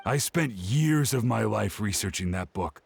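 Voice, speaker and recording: Gravelly, dramatic old scholar. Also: male voice, on the low side, animated, measured pace, heavily acted. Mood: aggrieved. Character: old scholar man, pleading and woeful, devoted to his research. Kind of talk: video game character acting.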